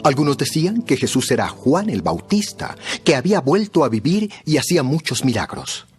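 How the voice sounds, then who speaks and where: A man's voice: medium pitch, very animated, moderate pace, breathy edge, soft background music. A man narrating a dramatized Bible story from a script.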